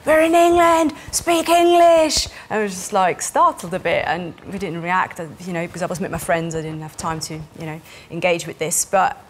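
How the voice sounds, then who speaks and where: A woman's voice: fairly low pitch, moderate pace, few pauses, a little hiss or hum. Swiss-accented woman politician being interviewed. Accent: Swiss German. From Switzerland. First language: Swiss German. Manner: animated, gestures while talking, many fillers. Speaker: parliamentary candidate. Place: small TV studio.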